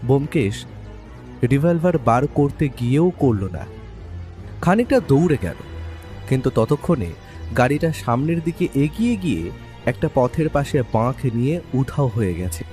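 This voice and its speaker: Male narrator for suspense audio story, very lively pitch, mellow timbre, faint music underneath. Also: normal speed, pauses often. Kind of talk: dramatic scripted first-person narration.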